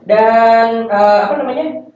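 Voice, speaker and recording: A man's voice: very high voice, slow, darkish tone. A young man hosting a casual podcast interview, recorded in an echoey room. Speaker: podcast host. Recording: echoey, distant, dull sound, faint noise.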